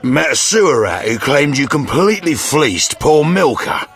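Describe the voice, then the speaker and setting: A man's voice: mid-range voice, very animated, normal speed, fairly bright tone. A man voicing a crude, boastful yet sentimental mercenary sergeant in a fantasy video game, with blustering theatrical delivery. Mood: gruff boasting.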